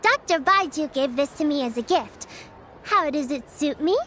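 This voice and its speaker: A woman voicing a kind, polite little girl in an anime-style video game, childlike and gentle in manner. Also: high-pitched, lively intonation, moderate pace, slight background noise. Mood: pleased. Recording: music behind the voice. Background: music.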